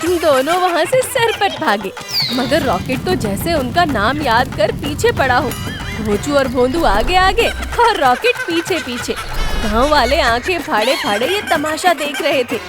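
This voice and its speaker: A woman's voice: high-pitched, big pitch swings, measured pace. A woman delivering scripted narration for a cartoon story. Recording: loud music behind.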